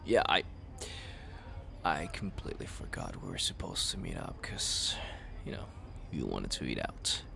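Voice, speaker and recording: A man acting a flustered, jealous young best friend in an intimate roleplay, with halting, stammering speech and sighs. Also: very deep voice, big pitch swings, vocal fry, bright, forceful tone, slight background noise, heavily acted. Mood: flustered, apologetic. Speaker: roleplay audio performer.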